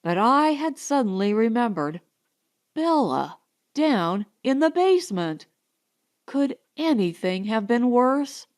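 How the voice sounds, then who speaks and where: A woman's voice: fairly high pitch, big pitch swings, slow. A woman reading first-person narration from a comic novel as an audiobook narrator.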